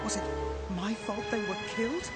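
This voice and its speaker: A man voicing an idealistic young soldier-mage who speaks haltingly and with passion, sliding into despair in an animated game. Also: sits high. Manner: halting, impassioned. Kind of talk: dramatic animated game voice acting. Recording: loud orchestral music behind.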